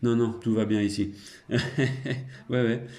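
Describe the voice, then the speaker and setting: A man's voice: mid-range voice, little pitch movement, measured pace, warm tone. A middle-aged man chatting casually and colloquially as an amateur radio operator. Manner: chuckling, colloquial, trailing tag words. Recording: roomy, echoing room sound.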